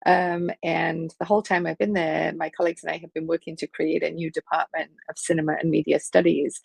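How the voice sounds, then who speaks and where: A woman in her fifties, from Liverpool, answering interview questions thoughtfully with frequent pauses and fillers over a video call. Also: low voice, level tone, vocal fry, a little mellow, breathy edge, no echo. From England, Liverpool. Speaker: film studies professor, guest.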